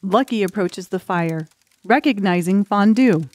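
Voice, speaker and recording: A woman's voice: medium pitch, measured pace, darkish tone, dry recording. A woman reading scripted story narration as an audiobook narrator.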